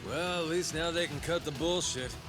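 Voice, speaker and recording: Man playing a high-pitched, swaggering pirate captain. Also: normal speed, over loud music, close to an everyday voice. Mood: mock calming. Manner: slangy, taunting, swaggering. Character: wild, cocky middle-aged male pirate captain and ex-yakuza. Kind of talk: video game cutscene acting.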